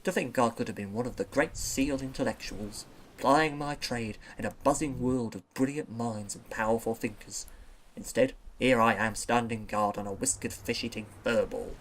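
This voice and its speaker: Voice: mid-range voice, normal speed, slight background noise, lightly acted, rough recording. A performer voicing a young female seal with a British accent, wry, sarcastic and self-important. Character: young female seal, pompous would-be intellectual. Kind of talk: cartoon narration.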